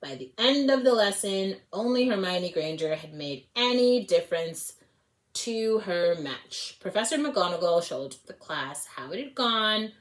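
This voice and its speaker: A woman reading a storybook aloud as a read-along host, expressive and informal, occasionally correcting herself. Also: on the low side, rough recording. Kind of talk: storybook read-along narration with casual asides.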